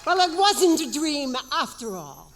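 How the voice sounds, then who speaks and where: Pantomime fairy godmother: regal and magical, very high-pitched, stretched-out theatrical delivery. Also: sing-song. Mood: showy. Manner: declamatory, drawn-out delivery. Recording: music playing behind the voice.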